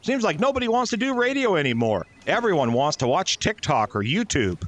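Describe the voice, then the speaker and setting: A man playing a friendly, chatty North Pole radio station worker, brisk and encouraging, in a comic Christmas radio play. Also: high voice, big pitch swings, a bit bright, slight background noise. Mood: brisk, welcoming.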